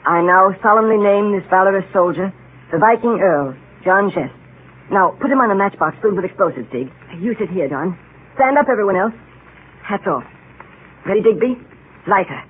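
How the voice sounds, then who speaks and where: A man's voice: high voice, expressive, normal speed, slight background noise. An actor playing a bossy young English boy who leads his playmates with solemn, commanding formality in a 1930s radio drama. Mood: solemn, ceremonial. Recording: tinny, muffled 1930s radio broadcast.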